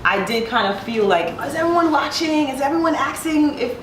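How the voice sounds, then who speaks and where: A woman's voice: sits high, lively intonation, a little fast. A woman in her thirties from Brooklyn, of Haitian family, telling personal stories in casual chat, full of "like" and laughter. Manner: chatty, fills her talk with "like", laughs as she talks. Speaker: panel guest. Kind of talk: casual chat, candid storytelling. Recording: roomy sound, mic at a distance, faint noise.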